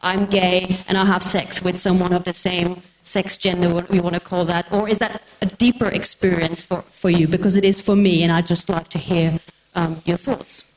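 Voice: mid-range voice, flat delivery, normal speed. A woman asking a question from the audience at a panel, tentative and searching for words as the question rambles. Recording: noisy live hall microphone.

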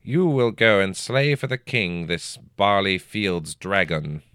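A man doing a sharp-voiced old royal counselor. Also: deep voice, animated, slow pace, clean tone, no echo, clean studio sound, heavily acted. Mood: smug. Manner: sharp, clipped, commanding.

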